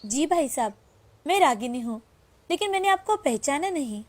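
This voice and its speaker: A woman playing a gentle, polite poor widowed mother in a moral story, speaking earnestly. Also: sits high, sing-song, moderate pace. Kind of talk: Hindi moral-story voice acting.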